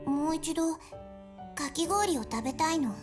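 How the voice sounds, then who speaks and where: A woman's voice: high voice, brisk. A woman voicing a pouty, easily flustered teenage girl in an anime-style visual novel. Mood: quiet, determined. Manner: pouty, expressive, stammering when flustered. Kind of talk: Japanese visual novel voice acting. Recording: game music behind the voice.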